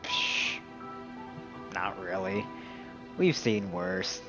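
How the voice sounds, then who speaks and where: A woman's voice: very deep voice, drawn-out words. A woman giving casual, playful reaction commentary on a game in short wry asides. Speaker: gaming streamer. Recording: game music behind voice. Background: game audio and music.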